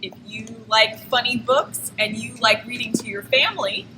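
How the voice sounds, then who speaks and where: A woman's voice: a little high, normal speed, pushed and bright. A woman, a children's librarian, recommending picture books and addressing young readers directly. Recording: reverberant hall, clear background noise.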